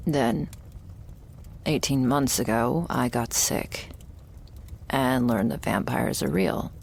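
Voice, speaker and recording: A woman playing a jaded, wry, terminally ill shopkeeper who serves a vampire, speaking in an intimate, deadpan ASMR roleplay monologue. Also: exaggerated voice. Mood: dry, resigned. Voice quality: low, husky, gravelly. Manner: deadpan, sardonic, slow and pausing, swearing casually.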